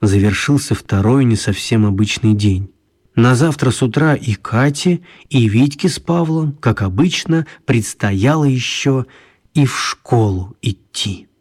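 A man narrating a children's radio play in a calm storytelling manner. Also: medium pitch, unhurried, breathy edge.

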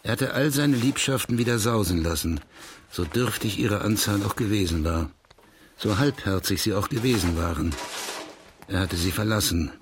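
An actor playing a detached narrator of a bleak life in a literary audio drama, measured and bitter. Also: male voice, lowish voice, a little hiss or hum, natural voice. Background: music.

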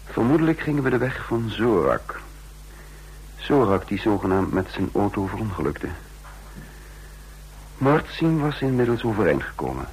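A man's voice: lowish voice, very animated, moderate pace, long pauses, slightly rough, low-quality recording. An actor playing a defiant trained fighter who recounts his own escape in a radio drama, with a sardonic edge. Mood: tense recounting. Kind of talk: radio drama, first-person narration and dialogue.

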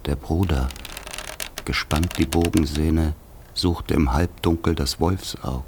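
An actor in a radio play playing a grave man who recalls a dark rural childhood, speaking in solemn, measured, poetic phrases with a husky voice. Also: extremely low pitch, slight background noise, exaggerated voice. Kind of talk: literary radio play monologue.

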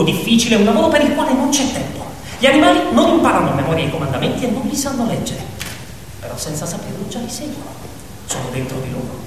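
Stage storyteller, theatrical and very animated with chatty asides, recorded far from the mic in a reverberant hall with faint noise. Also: medium pitch. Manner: expressive, conversational asides to the audience. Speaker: stage narrator.

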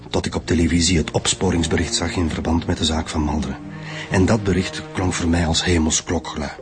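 Flemish confessing killer played by a male actor, low male voice, bitter, articulate, radio drama, music in the background. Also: natural voice. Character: male guest lecturer turned murderer, confessing, articulate and bitter. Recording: music behind the voice.

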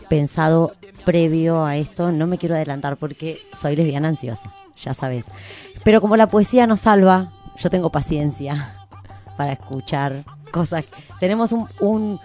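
A woman with an Argentine accent hosts casual radio chat in a lowish voice. She talks in an informal, slangy storytelling way, with some music behind her. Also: warm tone. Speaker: radio host. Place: radio studio.

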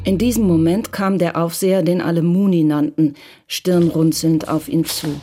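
Dark, low-voiced woman narrator. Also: steady tone, normal speed, slight background noise. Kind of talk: scripted radio play narration.

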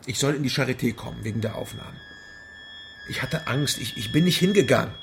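An actor playing a haunted, hard-drinking East German private detective in a radio drama, halting, weary and brooding. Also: male voice, mid-range voice, lively intonation, vocal fry, background noise. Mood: anxious, defensive. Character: haunted male East Berlin private detective, ex-border soldier, heavy drinker. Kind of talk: radio drama dialogue and brooding first-person narration. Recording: music behind the voice.

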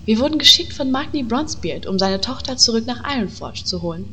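Earnest pacifist heroine for a parody audio drama, female actor, lively melodic delivery, slightly high, noisy recording. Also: brisk. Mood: earnest, formal. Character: earnest peace-loving female guild leader, easily indignant.

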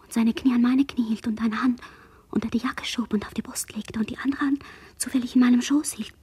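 A traumatized girl in a literary radio drama. Her voice is high and breathy. She recites memories in dreamy, incantatory fragments, as if barely conscious. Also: fairly even intonation, brisk, warm tone. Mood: hushed, intimate. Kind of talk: literary radio play monologue.

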